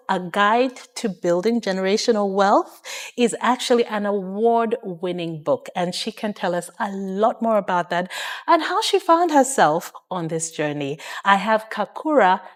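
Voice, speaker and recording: A woman, hosting a conversational interview show. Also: medium pitch. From Uganda.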